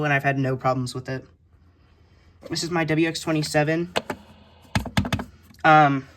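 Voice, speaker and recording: A collector casually showing items one by one in a halting, list-like way, recorded indoors on a handheld phone. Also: medium pitch, background noise. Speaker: hobbyist collector presenting a radio collection. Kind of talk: casual show-and-tell narration of a collection. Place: indoor room with shelves of electronics. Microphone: handheld phone behind the camera.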